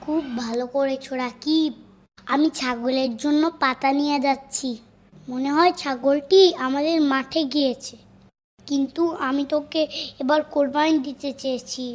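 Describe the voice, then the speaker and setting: A performer playing a gentle, earnest young cartoon boy. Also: sits high, steady tone, normal speed, lightly acted. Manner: earnest, plaintive. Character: gentle young boy who loves his goat, tearful. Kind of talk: cartoon voice acting.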